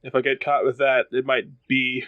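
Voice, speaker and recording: A man chats as a player in a tabletop game. He is casual, with an average-pitched voice, and the recording is dry. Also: level tone, normal speed, no echo, narrow, tinny audio.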